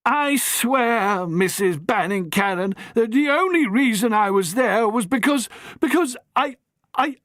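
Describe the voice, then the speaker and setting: A man's voice: very high voice, expressive, a little slow, fairly bright tone, dry recording, clean studio sound, pitched above the performer's own voice, exaggerated voice. A reader voicing a flustered, stammering young man pleading desperately.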